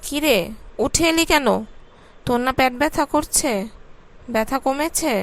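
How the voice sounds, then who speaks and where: A woman's voice: sits high, a little slow. A woman voicing a scolding, shrewd cartoon mother with a nagging, exasperated manner. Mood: suspicious. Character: scolding, shrewd mother of a naughty little boy. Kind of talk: comic cartoon voice acting.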